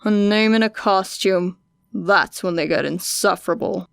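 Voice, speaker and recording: A woman voicing a tired, gruff grown man who talks in curt, clipped lines. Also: mid-range voice, measured pace, dry recording. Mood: grumbling.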